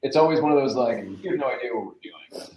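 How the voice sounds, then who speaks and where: A man chatting casually as an interview guest, thinking aloud in a halting, informal way. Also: mid-range voice, lively intonation, dull audio, a crowd in the background. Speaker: podcast guest, actor and producer. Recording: roomy, echoing sound.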